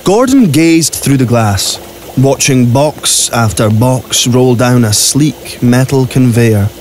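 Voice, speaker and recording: A man's voice: medium pitch, lively intonation, slow pace, a little mellow, a little hiss or hum. A man narrating a scripted audio drama as a storyteller. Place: recording studio. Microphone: close studio microphone.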